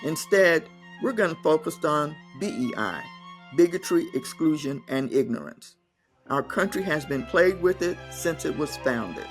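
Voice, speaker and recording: A woman hosting a podcast, opening with a measured scripted intro and then asking earnest questions with a few restarts. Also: low voice. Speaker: podcast host.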